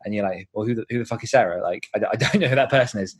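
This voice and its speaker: A man's voice: on the low side, racing, husky. A man speaking casually as a podcast guest, an author who explains things in a chatty way with imagined examples. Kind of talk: casual interview conversation.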